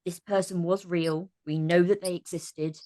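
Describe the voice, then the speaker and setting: A woman with a fairly low voice answers interview questions as a podcast guest. She speaks casually and reflectively, like an actor discussing her work, in a very dry recording with no room sound. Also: fairly even intonation, moderate pace, mellow, no room sound.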